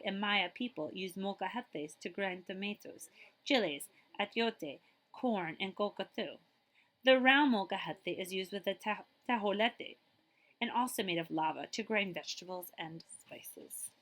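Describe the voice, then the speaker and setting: Bright-voiced woman reading to children. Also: medium pitch, measured pace. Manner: expressive reading with chatty asides to listeners. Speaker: school librarian. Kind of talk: children's picture book read-aloud.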